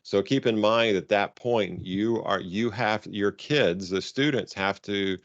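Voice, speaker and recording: Deep-voiced man on a roomy call. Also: a little slow. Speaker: robotics coaching advisor leading a conference call. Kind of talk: informal spoken guidance to coaches. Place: online conference call. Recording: dull, roomy conference call audio.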